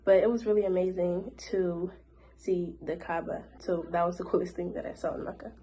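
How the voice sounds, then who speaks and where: A woman's voice: on the low side, fairly even intonation, a little fast, warm tone, poor audio. A young woman vlogger chatting casually to camera in a Q&A, her voice a little off from a cold, with many fillers like "like". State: recovering from a cold, voice slightly off.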